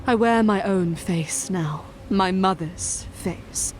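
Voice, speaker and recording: A woman performing a cold, vengeful witch who narrates her own dark tale in a deliberate, intense, dramatic way. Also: mid-range voice, a little hiss or hum, natural voice, rough recording. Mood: eerie, triumphant. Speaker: story narrator. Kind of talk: dramatic first-person scripted narration.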